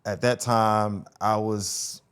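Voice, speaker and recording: Podcast guest: man in his forties, low voice, relaxed storyteller with street slang. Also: slow pace, clean tone. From North Las Vegas, USA. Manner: laid-back storytelling, slangy, restarts phrases. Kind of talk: casual interview conversation.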